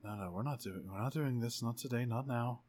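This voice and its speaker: A man playing an anxious, fiercely loyal warrior woman in a tabletop game, speaking haltingly and urgently and repeating words. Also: mid-range voice, darkish tone, close to an everyday voice. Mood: desperate, pleading.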